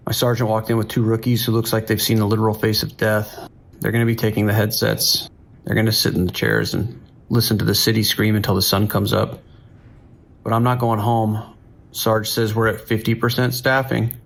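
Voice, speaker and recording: An actor playing a weary, steady New York police dispatcher in an audio drama, speaking in a measured, procedural way. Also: male voice, lowish voice, vocal fry.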